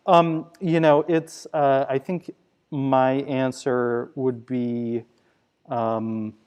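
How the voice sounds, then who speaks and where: Halting minister, male, mellow and warm timbre, thinking aloud in a live church Q&A. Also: medium pitch, flat delivery, smooth. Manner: hesitant, halting. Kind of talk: live unscripted Q&A conversation.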